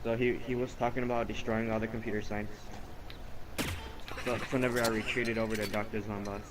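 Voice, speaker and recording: A man telling an improvised story casually during a game, rambling and halting as he corrects himself. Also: on the low side, little pitch movement, background noise.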